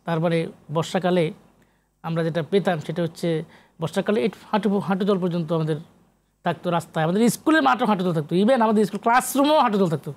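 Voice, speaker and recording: A man's voice: high-pitched, quick pace. A man from Bangladesh talking as an interview guest, emphatic and repetitive, posing rhetorical questions and mixing in English words. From Comilla, Bangladesh. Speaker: guest poet and researcher. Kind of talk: interview talk.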